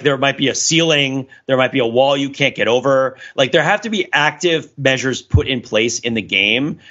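A man's voice: medium pitch, a bit bright, muffled recording. A man co-hosting a podcast, explaining things in a casual, discursive way and often checking in as he goes. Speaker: podcast co-host.

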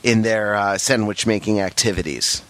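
A man's voice: on the low side, steady tone, normal speed, a bit bright, no echo, poor audio. A man hosting a podcast in casual chat, a little sick, rambling with lots of fillers and restarts. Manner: rambling, self-interrupting with fillers. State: sick, under the weather. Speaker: podcast host.

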